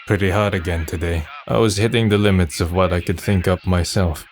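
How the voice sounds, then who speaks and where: An actor playing a wry, introspective young college student who narrates and speaks as the lead in a manga drama dub, understated and reflective. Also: male voice, sits low, fairly even intonation, fairly quick. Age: young adult. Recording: music under the voice.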